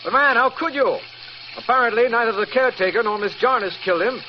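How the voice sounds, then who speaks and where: An actor playing an earnest, businesslike district attorney in an old-time radio drama, formal and often incredulous. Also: male voice, very high voice, fairly quick. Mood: puzzled. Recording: dull, faintly noisy vintage radio recording.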